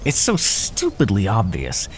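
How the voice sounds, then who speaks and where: A man's voice: lowish voice, huge pitch swings, a little slow, gravelly, slight background noise, exaggerated voice. A man voicing a smug, predatory naga who teases and threatens with mocking condescension in an ASMR fantasy roleplay. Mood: mocking amusement. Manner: mocking, condescending, drawling pet names. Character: smug predatory male naga, teasing and menacing.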